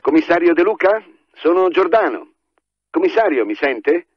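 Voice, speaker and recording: A dry, dulled telephone voice carries a smooth, officious police chief in a radio drama. He is formal and commanding, with a somewhat high pitch. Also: sing-song, moderate pace, no echo. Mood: formal, pointed. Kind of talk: Italian radio drama acting. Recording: dry, muffled telephone line.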